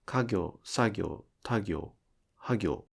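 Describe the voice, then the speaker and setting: A man's voice: on the low side, lively intonation, very slow, creaky, slightly rough. A man giving scripted instructional narration as a pronunciation teacher, enunciating carefully step by step.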